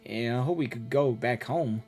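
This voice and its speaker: A woman's voice: rumbling low, normal speed, a little mellow, soft background music. A woman game streamer giving casual, informal reaction commentary and talking back to the characters.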